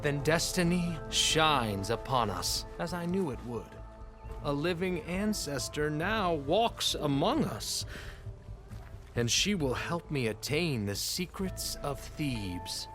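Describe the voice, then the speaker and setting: An actor voicing a pompous, commanding ruler of a seafaring tribe in a video game, speaking with grandiose authority. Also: male voice, fairly high pitch, expressive, slow, over music. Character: imperious, grandiose male chief executive of a seafaring tribe. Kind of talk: dramatic video game acting.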